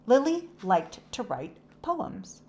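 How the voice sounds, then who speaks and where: A woman's voice: a little high, very animated, slow, a little mellow. A woman narrating a children's book aloud in a scripted read-aloud style.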